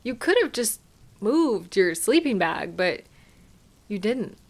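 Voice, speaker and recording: Casting: caring female partner played by a woman for intimate audio drama; slow, tender, gently chiding, mid-pitched. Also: very animated, full character voice. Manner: tender, soothing, gently questioning and unhurried. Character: caring, gently chiding partner arriving home to their sweetheart. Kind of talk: intimate scripted audio roleplay addressed to the listener.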